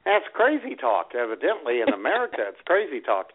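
A man's voice: fairly high pitch, wildly swooping pitch, a little fast, breathy edge. A man chatting casually as a radio host with dry sarcasm, over a narrow phone-like line. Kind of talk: casual chat.

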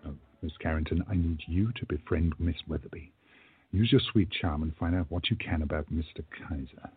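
A man playing a courtly, resolute time-travelling gentleman in a radio play, with formal, emphatic speech. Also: a bass voice, brisk, slightly rough, phone-quality sound, exaggerated voice. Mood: confiding.

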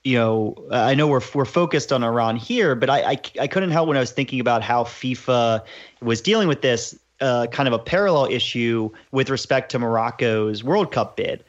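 Low-voiced podcast host, hedging. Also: male voice, moderate pace. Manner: thoughtful, hedging with many "you know"s. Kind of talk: casual discussion. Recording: dull, slightly lo-fi remote audio.

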